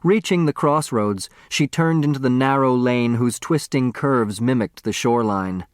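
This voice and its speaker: A man's voice: medium pitch, normal speed, clean tone, no echo, studio quality. A man narrating a story from a script, in the dramatic style of an audiobook.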